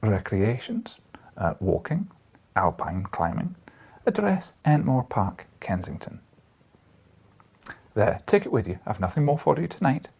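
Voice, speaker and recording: A brusque older newspaper editor, acted out by a male audiobook reader, barks curtly and emphatically. The voice is very husky and swings widely in pitch. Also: sing-song, measured pace, pauses often, a little mellow, full character voice. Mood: brisk, dry.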